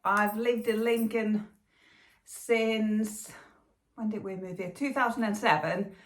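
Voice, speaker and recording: A middle-aged woman talks casually, pausing often on fillers and restarting her sentences. Her voice sits at an ordinary middle pitch. The room around her is noticeably echoey. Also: steady tone. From Finland. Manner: chatty, with many fillers and restarts. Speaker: knitting podcast host. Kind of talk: casual chat to camera.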